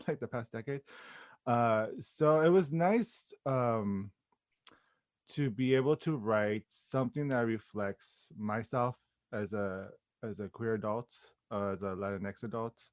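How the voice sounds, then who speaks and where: A man's voice: medium pitch, no echo. A man with an American accent talking casually as an interview guest, in a hesitant, giggly manner. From Los Angeles, United States.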